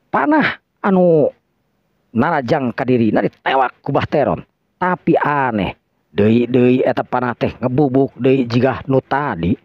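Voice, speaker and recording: A male storyteller with a Sundanese accent delivers dramatic folk-tale narration. His pitch moves widely, and he repeats phrases for theatrical effect. The sound is dry and a bit dull. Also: no echo. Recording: dull lo-fi close recording.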